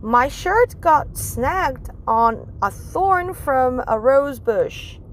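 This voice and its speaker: A woman's voice: high-pitched, lively intonation, extremely slow, a little hiss or hum, pitched above the performer's own voice, heavily acted. A woman voicing a cheerful, encouraging third-grade teacher in a children's storybook read-aloud. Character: friendly female third-grade teacher addressing her class.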